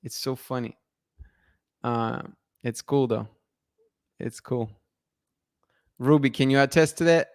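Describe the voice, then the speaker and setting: A man's voice: medium pitch, slow pace, long pauses, no echo, studio quality. A man from Russia, a channel host chatting casually and answering viewer comments with frequent restarts and laughs. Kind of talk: casual live-stream chat reading viewer comments.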